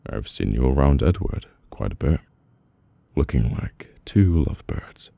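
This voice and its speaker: A man with a British accent playing a brooding, guarded mafia boss in an intimate, halting, murmured ASMR roleplay. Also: very deep voice, lively intonation, vocal fry, mellow, dry recording, exaggerated voice. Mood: awkward, caring.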